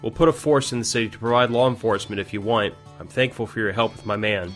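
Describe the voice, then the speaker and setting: A man's voice: lowish voice, quick pace, a bit bright, faint music behind, lightly acted. A man playing a principled army major in an audio drama, direct, earnest and businesslike. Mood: earnest, resolute. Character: firm, principled army major leading his men.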